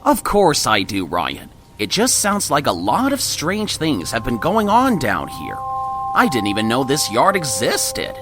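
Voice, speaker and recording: A man's voice: mid-range voice, wildly swooping pitch, a little slow, over music. A man playing an earnest, caring male fire engine who comes to the rescue in an audio drama. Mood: reassuring, serious. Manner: earnest, concerned. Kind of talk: amateur audio drama acting.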